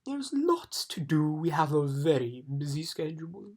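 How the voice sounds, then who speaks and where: A woman's voice: sits low, sing-song, measured pace, a little mellow, slightly rough, heavily acted. A woman voicing drowsy, idle little creatures. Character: lazy, sleepy small creatures who do nothing.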